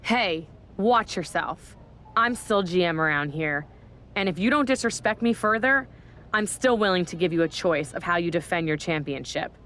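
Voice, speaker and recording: A woman's voice: lowish voice, lively intonation, normal speed, fairly bright tone, slight background noise. A woman playing a bossy wrestling general manager with a stern, commanding manner in a video game cutscene. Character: bossy, scheming female wrestling general manager, easily angered. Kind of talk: scripted game cutscene dialogue.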